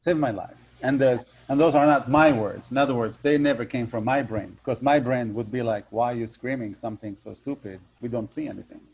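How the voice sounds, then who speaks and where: A man with a loud voice telling a personal story in a casual interview, acting out the voices of the people in it. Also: medium pitch, fairly quick, hardly any pauses, husky. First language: Hebrew. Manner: animated storyteller, acts out voices. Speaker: hypnotherapist guest. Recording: dull lo-fi sound.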